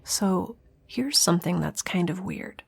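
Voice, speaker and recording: A woman's voice: on the low side, lively intonation, a little slow, vocal fry, a little mellow, slight background noise. An actress playing a young researcher alone on a spaceship, chatting into her log in a wry, rambling, nervous and self-deprecating way. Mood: anxious, wry. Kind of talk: audio drama, spoken log in a casual chatty manner.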